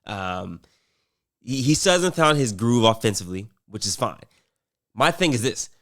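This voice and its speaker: A man's voice: mid-range voice, very animated, normal speed, fairly bright tone. A man commentating casually on basketball, rambling with fillers and restarts while getting over a cold.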